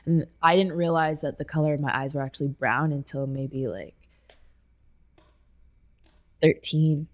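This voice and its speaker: A woman's voice: low voice, measured pace, long pauses. A woman, chatting casually as an interview guest and telling personal stories. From Canada. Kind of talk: casual conversational storytelling.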